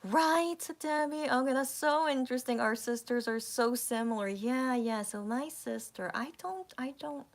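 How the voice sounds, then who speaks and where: A woman's voice: high-pitched, normal speed, few pauses. A woman in her thirties chatting casually with viewers on a livestream, rambling with many restarts and sometimes dropping to a whisper. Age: 30s. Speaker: livestream host.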